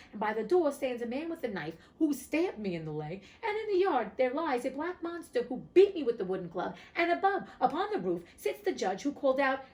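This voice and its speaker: A terrified robber spills out his tale of horrors in a voice pushed noticeably higher, as a woman reading the storybook puts him on. His telling comes out breathless and panicked, with a lively rise and fall. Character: frightened male robber messenger.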